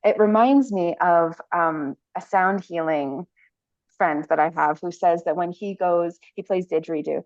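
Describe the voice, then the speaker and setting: A woman's voice: on the low side, measured pace, a little mellow, muffled recording. A woman hosting a casual chat show, telling stories with frequent laughter and fillers. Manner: storytelling, laughs often, many fillers. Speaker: podcast host.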